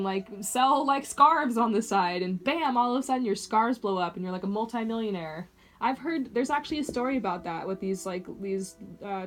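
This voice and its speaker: A young woman doing a casual psychic reading, chatty and full of fillers, her voice a little raspy from a long day. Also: on the high side. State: slightly raspy, short of water. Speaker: clairvoyant reader. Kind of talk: casual one-on-one tarot-style reading.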